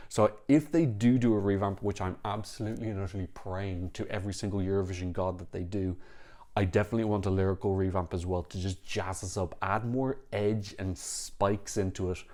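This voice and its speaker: Low-voiced man giving blunt opinions. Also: steady tone, darkish tone. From Ireland. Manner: frank, critical, talks in quick bursts with restarts. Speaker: Eurovision reaction vlogger. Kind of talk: opinionated casual commentary to camera.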